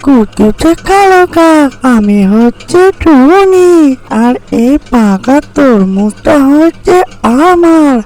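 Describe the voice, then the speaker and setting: Small female tailorbird, played by a woman for a fairy-tale cartoon: high voice, slow deliberate delivery, indignant but kindly. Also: expressive, darkish tone, poor audio.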